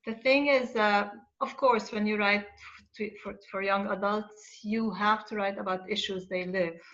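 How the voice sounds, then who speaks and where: A woman from Lebanon speaking earnestly on a panel, her voice at a middle pitch. The video call audio is dull and lo-fi, and she pauses often with fillers as she explains her points. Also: fairly even intonation, a bit bright. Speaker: children's author on a panel. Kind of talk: online panel discussion.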